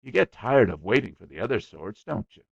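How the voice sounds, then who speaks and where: A man's voice: fairly low pitch, big pitch swings, brisk, vocal fry, dry recording, highs cut off, heavily acted. A man voicing a stubborn, boastful small boy who chatters eagerly. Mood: eager boasting.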